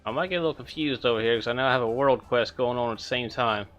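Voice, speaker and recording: A laid-back man chats to his viewers as he plays through a game. His voice is bright and forward. Faint game music runs behind him. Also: medium pitch, fast, muffled recording. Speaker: streamer. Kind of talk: casual gameplay streaming.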